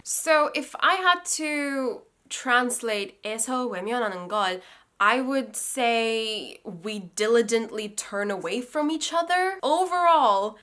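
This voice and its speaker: A young woman whose first language is Russian talks through song lyrics in a chatty, informal way. She thinks aloud and corrects herself often. Her voice is bright, with a pitch a little above the usual. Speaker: language learning host. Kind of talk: casual lyrics breakdown lesson.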